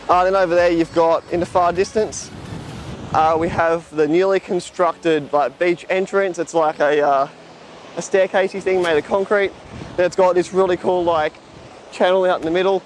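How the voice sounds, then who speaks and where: A young Australian man vlogging casually outdoors at the seaside, chatty and relaxed, filmed by a camera a few metres off. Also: a little high. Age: young adult. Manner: chatty, relaxed, filler-heavy, gestures while walking. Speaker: travel vlogger. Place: outdoors at a sunny seaside lookout, jetty and beach. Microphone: camera on a tripod a few metres away.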